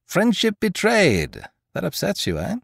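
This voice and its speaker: A man voicing a teasing, boastful gentleman thief in an audiobook, mocking and theatrical, full of condescending rhetorical questions. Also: sits high, huge pitch swings, unhurried, vocal fry, no room sound, clean studio sound, voice pushed up, exaggerated voice. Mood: taunting. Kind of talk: dramatised audiobook character dialogue.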